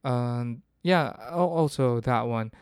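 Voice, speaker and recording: A man's voice: medium pitch, takes it slowly, smooth, dry recording, clean studio sound. A man from the Philippines, hosting a casual solo podcast and thinking aloud with frequent restarts. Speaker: podcast host.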